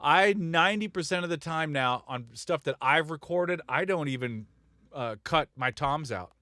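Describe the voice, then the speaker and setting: A man's voice: fairly high pitch, animated, normal speed, bright, forceful tone, no echo, clean studio sound. Blunt, rambling male music producer. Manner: rambling, blunt, self-mocking with frequent chuckles. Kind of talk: casual studio chat on a live stream.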